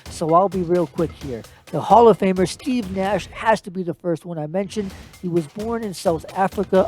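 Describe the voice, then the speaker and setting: A man's voice: high voice, darkish tone. A man hosting his own sports podcast alone, talking casually and running through lists of players. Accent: American. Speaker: podcast host. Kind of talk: solo podcast commentary.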